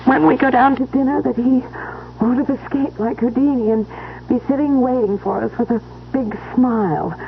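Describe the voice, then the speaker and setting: A woman's voice: high voice, fairly even intonation, normal speed, creaky. An actress playing a tender, wistful woman in an old-time radio drama, speaking earnestly and with feeling. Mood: grieving. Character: tender, wistful woman mourning her beloved step-grandfather. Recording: muffled vintage radio recording, faint hiss.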